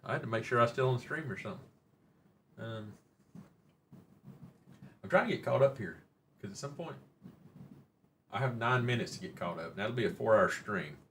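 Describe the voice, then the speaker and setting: A man's voice: mid-range voice, animated, moderate pace, pauses often, fairly bright tone, a little hiss or hum. A middle-aged man, hosting a rambling, joking, mildly profane live-stream chat. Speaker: livestream host.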